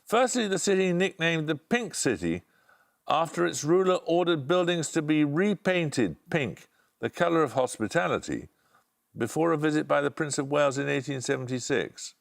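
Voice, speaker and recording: A man hosting a televised quiz, reading questions and calling answers in a brisk, authoritative way. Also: a little high, lively intonation, gravelly. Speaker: quiz show host.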